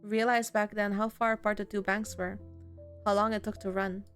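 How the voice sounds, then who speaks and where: A woman's voice: medium pitch, flat delivery, moderate pace, a bit bright, faint music behind. A woman streaming a visual novel, reading the game's dialogue aloud and reacting casually.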